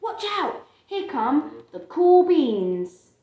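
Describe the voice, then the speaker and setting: A woman reading a picture book aloud to a child and chatting about it, with expressive, drawn-out emphasis on phrases. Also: sits high, muffled recording. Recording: roomy, echoey home recording.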